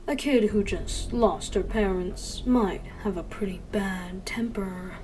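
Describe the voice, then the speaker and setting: A woman's voice: medium pitch, mellow, a little hiss or hum, lightly acted, poor audio. A woman playing a sly, mocking female secret agent in a dramatic fan dub, teasing, smug and self-assured. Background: background music.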